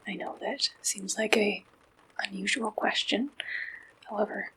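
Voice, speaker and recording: A woman whispering an ASMR roleplay as an attentive dating service matchmaker, speaking breathily and deliberately in short phrases. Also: mid-range voice, bright, forceful tone, a little hiss or hum, low-quality recording. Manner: slow, deliberate questionnaire-style delivery in short phrases.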